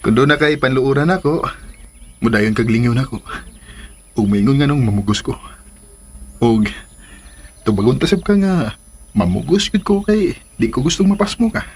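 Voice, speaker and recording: An actor with a Cebuano Filipino accent playing an earnest, lovestruck suitor in a radio drama, coaxing and hesitant. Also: male voice, mid-range voice, expressive, moderate pace, long pauses. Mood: tender, teasing. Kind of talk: Philippine radio drama acting. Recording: radio drama with clear background noise.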